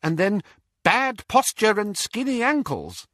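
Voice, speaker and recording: A man voicing an indignant, articulate teenage girl in an audiobook, with a brisk, pointed manner. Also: high-pitched, wildly swooping pitch, a bit bright, no room sound, studio quality, pitched above the performer's own voice, full character voice.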